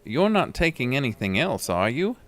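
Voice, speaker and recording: Man playing a male pharmacist, raised pitch, loud and emphatic, concerned, cautioning, audio drama. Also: big pitch swings, normal speed, lightly acted. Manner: alarmed, louder, emphatic warnings.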